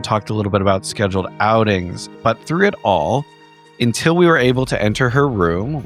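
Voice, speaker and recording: A man giving a measured, wordy recap in formal storytelling phrasing, as a player in a tabletop horror game. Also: on the low side. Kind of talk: in-character recap narration for an actual play. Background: background music.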